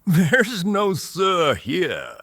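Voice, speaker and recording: Rebel outlaw chief, charismatic and reassuring, very slow with animated swings, male actor, fantasy audio drama. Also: high voice, no room sound, heavily acted. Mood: amused, wry.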